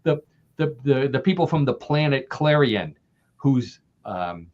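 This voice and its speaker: A man's voice: mid-range voice, expressive, measured pace, darkish tone. An older man from the American Midwest, chatting as a podcast guest, laughing often and repeating himself as he talks. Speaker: guest author. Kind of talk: casual podcast interview. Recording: dull lo-fi audio.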